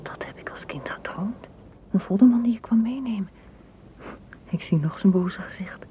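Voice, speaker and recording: An actress in a radio play playing a dreamy, tender woman gardener, speaking softly and poetically, often in a whisper. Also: medium pitch, expressive, moderate pace, natural voice. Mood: hushed, fearful. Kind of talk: radio drama acting. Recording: muffled, noisy old radio recording.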